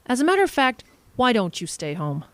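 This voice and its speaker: Casting: middle-aged wife and mother, reproachful and scornful, very expressive pitch, dry studio-close sound, realistic audio drama. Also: brisk, no echo. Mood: cold, scornful. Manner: accusing, scornful. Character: reproachful, cutting churchgoing wife and mother of a teenager. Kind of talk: naturalistic audio drama acting.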